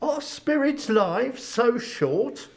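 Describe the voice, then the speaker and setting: A man's voice: very high voice, animated, drawn-out words, gravelly, full character voice. A man playing a humbled, fearful old man who asks hesitant, pleading questions in a dramatic storybook reading. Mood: anxious wonder.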